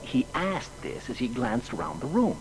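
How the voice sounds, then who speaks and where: A folksy old-time telegraph worker recounting an office anecdote in a radio-style drama. His mid-pitched voice swings very widely as he talks in colloquial turns, with faint background ambience around him. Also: moderate pace, a little hiss or hum, poor audio. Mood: amused recollection. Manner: animated, colloquial.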